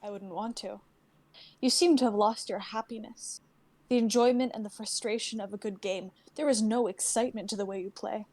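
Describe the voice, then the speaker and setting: A woman's voice: mid-range voice, fairly even intonation, fairly quick, darkish tone, lightly acted. A woman playing a defiant, self-assured young-sounding ice fighter in a dramatic script reading. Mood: cool taunting. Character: Sarah, defiant, confident female ice fighter.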